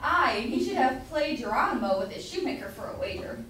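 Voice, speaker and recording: Woman as bossy grocer husband, high swooping pitch, demanding, old-fashioned stage comedy, far from the mic. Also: animated, fairly quick, fairly bright tone. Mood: proud, bossy. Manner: blustering, demanding, emphatic. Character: bossy, meddling male citizen grocer, proud husband. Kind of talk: amateur staged reading of Jacobean comedy. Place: indoor room at a college, far from mic. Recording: distant echoey room, faint noise.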